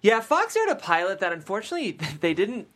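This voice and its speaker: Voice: medium pitch, wildly swooping pitch, a little fast, a bit bright. A podcast host with an enthusiastic, chatty manner, interviewing and discussing scripts casually with guests. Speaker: host of a script table-read show.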